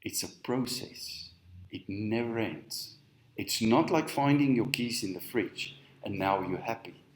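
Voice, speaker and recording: Low-voiced man, Afrikaans speaker, slow emphatic sermon, echoey room. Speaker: spiritual teacher. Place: on stage in a hall.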